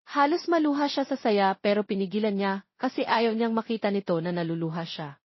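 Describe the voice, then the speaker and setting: A woman's voice: medium pitch, brisk, no echo, highs cut off. A woman reading a scripted romance story as narrator, in a close voice-over.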